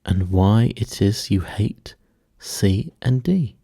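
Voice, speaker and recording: A man's voice: lowish voice, lively intonation, slow, creaky, mellow, husky, no echo, studio quality, exaggerated voice. A man voicing a curious, polite and puzzled young girl in a storybook, with earnest questioning.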